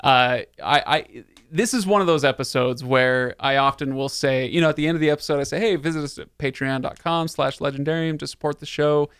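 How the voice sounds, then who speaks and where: A man's voice: a little high, brisk, hardly any pauses, a bit bright, clean tone, no room sound. A man hosting a casual, conversational podcast discussion with friends. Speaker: podcast host. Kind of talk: casual panel chat.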